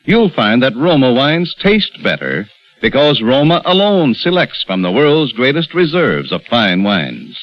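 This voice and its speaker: A man announcing a sponsor message in a smooth, persuasive old radio style. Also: mid-range voice, sing-song, unhurried. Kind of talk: sponsor commercial read. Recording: dull old radio broadcast with faint music.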